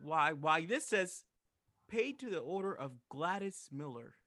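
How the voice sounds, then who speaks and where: A man's voice: fairly high pitch, expressive, measured pace, pushed and bright. A man playing a fussy, exasperated, skeptical telegraph clerk in an old-time radio play. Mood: officious.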